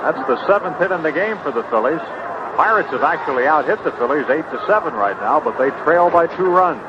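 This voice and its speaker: High-voiced baseball announcer, very noisy old radio. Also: male voice, expressive, fairly quick. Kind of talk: live radio sports commentary. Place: stadium broadcast booth. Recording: muffled, very noisy vintage radio broadcast.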